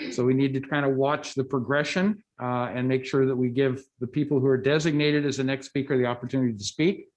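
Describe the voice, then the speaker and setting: A man chairing a county board hearing, explaining the procedure slowly with frequent fillers. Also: fairly high pitch, rough recording. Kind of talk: procedural meeting chair remarks.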